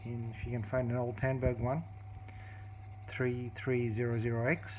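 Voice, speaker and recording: A man casually demonstrating a tape recorder repair at his workbench, explaining step by step in a halting way, with many fillers and restarts. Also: medium pitch, flat delivery. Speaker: hobbyist repairer. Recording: dull, lo-fi audio with clear background noise and clicks.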